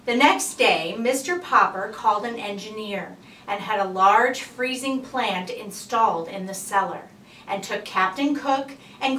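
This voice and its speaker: A woman reading a children's storybook aloud like a librarian, with deliberate phrasing and emphasized words. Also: mid-range voice, a bit bright. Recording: roomy echo, distant mic, faint noise.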